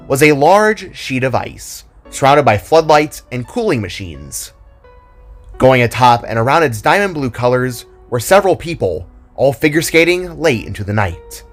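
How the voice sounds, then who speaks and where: Male narrator for dramatic story reading, very varied pitch, faint music bed. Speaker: storyteller and author. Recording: music behind the voice.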